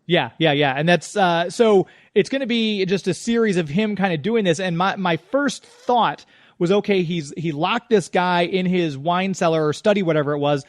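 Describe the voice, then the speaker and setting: A high-pitched man speaks as a radio host in an easy conversational interview style. The sound is very dry, with hardly any room on the voice. He moves between addressing listeners and chatting with his guest. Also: normal speed, dry recording.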